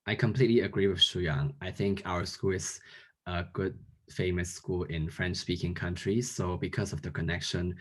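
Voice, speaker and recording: A man in his twenties from Hong Kong with a very low voice. He answers interview questions in a calm, measured way. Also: husky. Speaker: guest.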